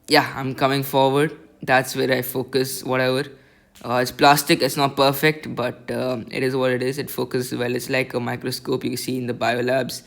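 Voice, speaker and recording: A man with a squeaky voice giving a rambling, self-conscious voice-over review of a telescope, recorded on a laptop mic. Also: a bit bright, clean tone. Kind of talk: casual voice-over product review.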